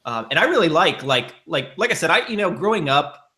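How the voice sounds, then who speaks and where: A man's voice: fairly high pitch, big pitch swings, fairly quick, pushed and bright. A man hosting a casual podcast chat, rambling with lots of fillers and restarts.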